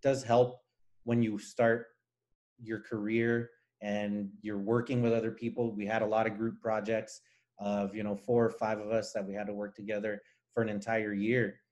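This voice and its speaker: A man from Nicaragua who grew up speaking Spanish talks in a fairly low voice. He is a guest engineer in an interview, explaining things earnestly and conversationally. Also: flat delivery, normal speed, a little mellow.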